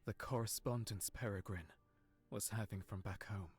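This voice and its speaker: A man playing a guarded, heartbroken young nobleman in a visual novel, speaking in an earnest, measured way. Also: fairly low pitch, fairly even intonation, darkish tone, slightly rough. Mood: bitter, hurt. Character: heartbroken young nobleman, guarded, wounded, confiding in his servant. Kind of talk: visual novel character acting.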